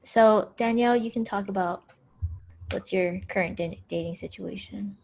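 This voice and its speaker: A woman in her early twenties from Hawaii, co-hosting a podcast in a relaxed, chatty way and laughing often. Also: medium pitch, normal speed, creaky. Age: 20s. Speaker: podcast co-host. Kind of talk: casual chat.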